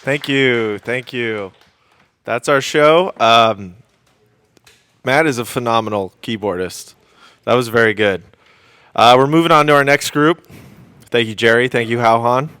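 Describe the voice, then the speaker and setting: A man's voice, fairly relaxed in tone, hosting live radio theater from a studio. He chats casually and off the cuff, with a playful, appreciative manner. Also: mid-range voice, measured pace, long pauses, clear, resonant tone, no room sound. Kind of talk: casual chat.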